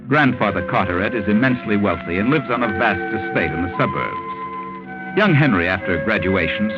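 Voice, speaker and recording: Sinister American storyteller for a 1940s radio mystery: low, swooping delivery, muffled vintage sound. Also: male voice. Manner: theatrical, deliberate, sinister storytelling. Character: The Whistler, ominous all-knowing male mystery narrator. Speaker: narrator host of mystery series.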